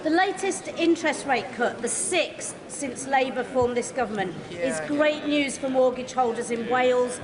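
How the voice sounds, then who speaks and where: A woman's voice: high voice, animated, normal speed, hardly any pauses, crowd noise. A woman member of parliament asking an upbeat, formal question. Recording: chamber with murmuring benches.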